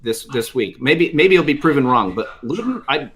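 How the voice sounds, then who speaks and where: Mid-pitched man with halting, repetitive delivery. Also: lively intonation, fairly bright tone. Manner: halting, repeats words emphatically. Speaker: fantasy football panelist. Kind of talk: casual sports advice chat.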